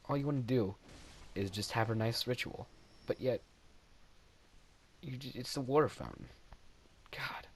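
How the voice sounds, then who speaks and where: A man's voice: mid-range voice, unhurried, long pauses, husky. A young man casually commenting over his own gameplay footage, rambling and self-deprecating, often laughing at his own jokes.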